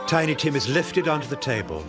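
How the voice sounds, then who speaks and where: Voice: medium pitch, big pitch swings, fairly quick. A narrator reading scripted scene descriptions for a radio drama. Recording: loud music and crowd behind.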